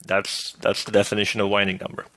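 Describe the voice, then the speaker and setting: A middle-aged man with a slightly hoarse voice, giving a recorded math lecture over slides in a relaxed, thinking-aloud way, often checking in with "right?". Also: lowish voice, fast, dry recording. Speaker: math professor.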